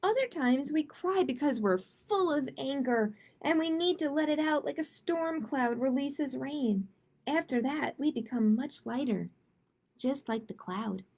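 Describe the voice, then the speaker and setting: Female storytime reader for kids: chatty, expressive, very slow delivery with plenty of vocal fry. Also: sits high. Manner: expressive, chatty, addresses young listeners directly. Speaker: library storytime host reading picture books. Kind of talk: children's read-aloud with casual chat.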